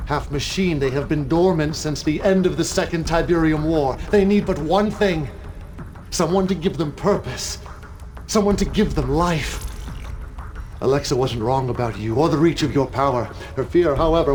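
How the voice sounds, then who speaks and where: An actor playing a grandiose, fatherly cult leader who speaks in solemn, deliberate prophecy in a video game cutscene. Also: a little high, natural voice. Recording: voice over loud background music.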